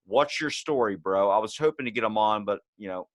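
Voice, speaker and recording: A man's voice: lowish voice, a little fast, no echo. A man chatting casually and telling anecdotes as a podcast host, in blunt, profane, slangy language.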